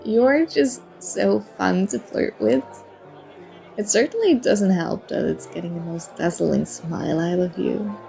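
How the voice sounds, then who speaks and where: A woman's voice: medium pitch, creaky, rough recording. A woman playing a flirty, charming stranger at a party bar in an ASMR roleplay, teasing and coy as she talks. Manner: playful, teasing, coy, mock-apologetic. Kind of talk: ASMR roleplay, acted one-sided conversation. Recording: soft music and party chatter behind. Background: music and crowd murmur of a party bar.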